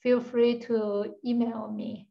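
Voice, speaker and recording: A woman moderates an online seminar, inviting each person in with short, slow prompts. Her slightly raised voice comes through a dull, lo-fi call. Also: a little high, flat delivery, vocal fry. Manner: brief, welcoming prompts. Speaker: seminar host.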